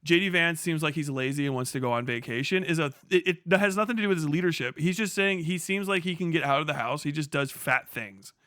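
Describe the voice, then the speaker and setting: A man with a fairly high, forceful voice in a very dry recording. He talks off the cuff as a livestream commentator, opinionated and emphatic, swearing freely as he reacts to videos. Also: quick pace, few pauses, dry recording. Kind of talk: casual unscripted political commentary, reacting to video clips.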